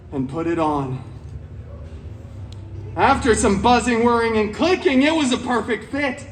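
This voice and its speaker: A man playing a flamboyant, sarcastic antihero, telling a comic parody fairy tale to an audience with dramatic pauses. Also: very high voice, a little hiss or hum. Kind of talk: comic live storytelling to an audience. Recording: roomy, distant live event with music behind.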